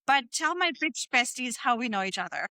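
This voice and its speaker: Bright, clear-voiced German-accented woman podcaster. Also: on the high side, very animated, moderate pace, no room sound. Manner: gushing, slangy and sweary. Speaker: podcast host. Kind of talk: casual podcast chat.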